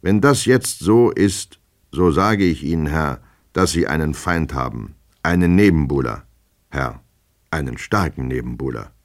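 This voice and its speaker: A man's voice: extremely low pitch, lively intonation, a little slow, full character voice. A man voicing a deferential, knowing manservant in an audiobook, plodding along and addressing his master at every turn. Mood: servile, knowing. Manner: deferential, repeating "sir", plodding. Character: servile male servant, knowing and long-winded. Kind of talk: dramatic audiobook character reading.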